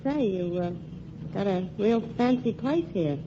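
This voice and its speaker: An actress playing a streetwise young nightclub singer in a 1940s radio drama, brassy and slangy. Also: female voice, medium pitch, lively intonation, unhurried. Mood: defensive. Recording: muffled 1940s radio broadcast with faint hiss.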